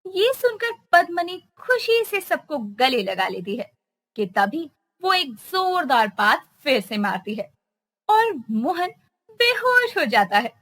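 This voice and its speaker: A woman's voice: high voice, fairly bright tone. A woman narrating a story expressively.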